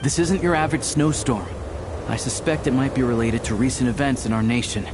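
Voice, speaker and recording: A man playing a grave, cautious man in a video game, speaking in a serious and measured way. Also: medium pitch, noisy background, lightly acted. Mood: wary, commanding.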